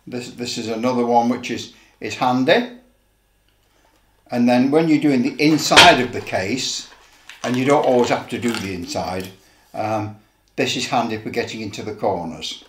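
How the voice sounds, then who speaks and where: A man's voice: medium pitch, moderate pace. Older man, echoey room. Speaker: presenter of a hobby how-to video. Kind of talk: informal demonstration. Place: indoor office room. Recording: roomy echo, background noise and clicks.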